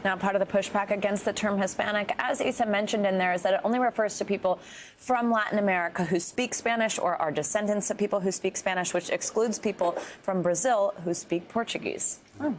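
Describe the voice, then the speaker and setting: A woman's voice: mid-range voice, brisk. Composed woman news anchor. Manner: composed, polished. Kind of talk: news presenting.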